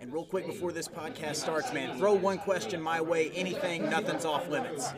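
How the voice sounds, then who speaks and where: A man's voice: a little high, steady tone, normal speed. A man hosting a casual podcast interview at a booth at a busy fan convention. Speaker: podcast host. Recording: busy convention crowd behind.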